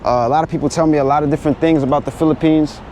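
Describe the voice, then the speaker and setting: Upbeat New travel vlogger. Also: male voice, a little high, fairly even intonation. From New York. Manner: upbeat, rushed on the move. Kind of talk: casual travel vlog to camera. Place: airports and city streets. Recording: loud background noise on location.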